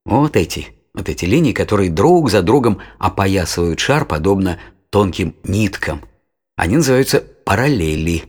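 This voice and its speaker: Father, fairly deep, raspy, patient, didactic, measured delivery, educational audio play. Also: lively intonation. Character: patient father explaining science to his children.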